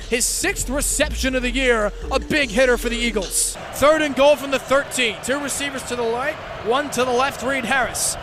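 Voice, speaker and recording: Very high-pitched young male football play-by-play announcer. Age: young adult. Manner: clipped, rapid phrases rising into excited shouts. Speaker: student play-by-play broadcaster. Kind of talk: live football radio play-by-play. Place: football stadium broadcast position. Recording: clear outdoor stadium noise behind.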